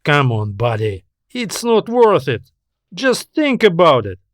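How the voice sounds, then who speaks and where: A man's voice: a little high, wildly swooping pitch, slow, no room sound, studio quality, full character voice. Man voicing a level-headed, exasperated space pilot. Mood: exasperated, persuasive. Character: level-headed male space pilot reasoning with his greedy partner.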